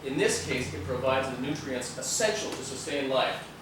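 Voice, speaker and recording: A man's voice: medium pitch, expressive, normal speed, natural voice. Man playing a proud scientist in cavernous echo. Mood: proud explaining. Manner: eager, explanatory. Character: proud, eager male scientist doctor showing off creations. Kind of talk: stage play acting. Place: theatre stage, picked up from a distance. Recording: echoey, noisy stage videotape from far off.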